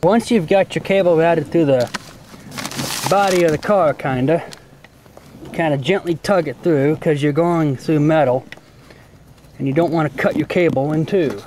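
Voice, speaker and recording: A man's voice: fairly high pitch, moderate pace, pauses often, noisy background. A man giving a casual hands-on tutorial in a folksy, plain-spoken way, as host of a homemade how-to video. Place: outdoors in and around a car.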